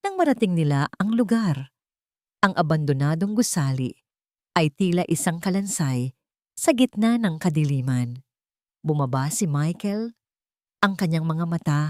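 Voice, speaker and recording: A woman's voice: lowish voice, a little mellow, dry recording, clean studio sound. A woman reading a scripted, dramatic audio story as a storyteller.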